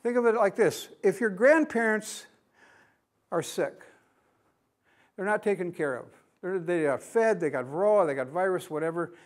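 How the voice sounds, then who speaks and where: A man's voice: high voice, animated, moderate pace. Folksy older man lecturing a classroom. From United States. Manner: folksy, plain-spoken, asks the audience questions. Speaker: beekeeping speaker. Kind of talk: conference lecture.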